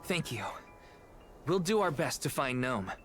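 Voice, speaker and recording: An actor playing an earnest, loyal young male soul guard in a video game cutscene, expressive and emotional. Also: mid-range voice, a little slow. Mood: grateful, resolved. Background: background music.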